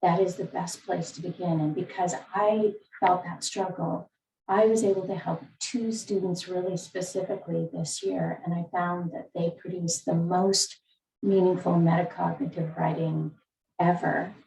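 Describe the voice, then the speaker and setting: A woman's voice: fairly low pitch, fairly even intonation, normal speed, vocal fry, dark tone, roomy sound, far from the mic, a little hiss or hum, poor audio. A woman teacher speaking earnestly on a video call. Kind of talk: reflective introduction in a video call.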